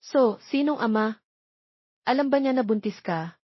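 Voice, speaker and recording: Nosy, husky young best friend, played by a woman. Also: on the high side, lively intonation, a little slow, no echo, dull audio, pitched above the performer's own voice. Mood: surprised, probing. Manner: probing, sulky. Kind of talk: audiobook character dialogue.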